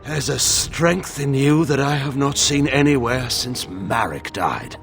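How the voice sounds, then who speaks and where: An actor playing a proud, embittered middle-aged general with a gravelly, imperious delivery in a fantasy game cutscene. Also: male voice, medium pitch, expressive, unhurried, a bit bright, faint music behind. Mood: weary, conceding. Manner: theatrical, imperious oratory.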